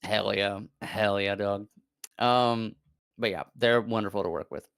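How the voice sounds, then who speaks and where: Man, mid-pitched, smooth resonant voice, dry close mic, casual cursing chatter. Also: measured pace, no room sound. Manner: animated, swearing, laughing, frequent fillers. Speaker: VTuber guest. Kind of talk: casual stream chat.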